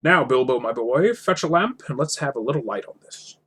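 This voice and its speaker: A man's voice: mid-range voice, expressive, brisk, fairly bright tone, breathy edge, voice pushed up. A man voicing a stern old wizard from a storybook, speaking with emphatic authority. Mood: stern, commanding.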